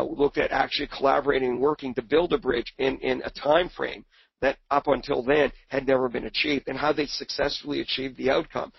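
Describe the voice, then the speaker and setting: A man presents in a webinar, his voice hoarse and raspy from a cold, with a heavy gravelly edge and a breathy rasp. He rambles and repeats words as he searches for his point. The sound is dull and muffled, with no room echo. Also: mid-range voice. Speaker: book co-author presenting on a webinar.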